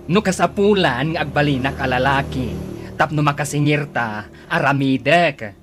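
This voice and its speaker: A woman's voice: deep voice, moderate pace, background noise, natural voice. An actress playing a bold, scheming woman in an Ilocano radio comedy drama. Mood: determined.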